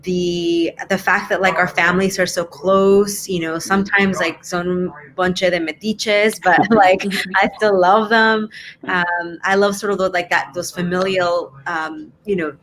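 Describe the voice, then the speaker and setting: Low-voiced woman thinking aloud. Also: fairly even intonation, a bit bright. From born in Mexico. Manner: halting, thinking aloud, explanatory. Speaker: panel guest. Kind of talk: casual discussion. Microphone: live video call. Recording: faint background noise on online call.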